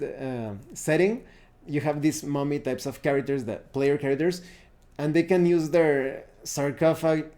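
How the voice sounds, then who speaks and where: Guy with a soft mellow voice, medium pitch, explaining stuff casually for his channel, stumbles and restarts words a lot. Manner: halting, self-correcting delivery. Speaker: YouTube host reviewing roleplaying games. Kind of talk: casual unscripted explanatory talk.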